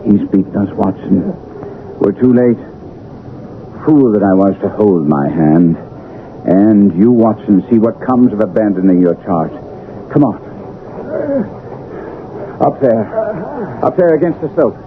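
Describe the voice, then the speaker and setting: An actor playing a commanding, keen-minded detective in a 1970s radio drama, theatrical, assured and precise. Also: male voice, on the low side, sing-song, takes it slowly, pauses often. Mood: urgent, agitated. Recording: muffled, narrow-band vintage radio, faint hiss.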